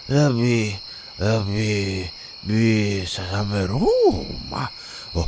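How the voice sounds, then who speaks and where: A man acts out a drunk street creep in an audio drama. His voice is gravelly and slow, slurring and swooping as he rambles. Night ambience and crickets sit clearly behind him. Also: medium pitch, noisy background. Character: drunk leering male street creep, pushy, later cowed. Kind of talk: roleplay audio drama acting.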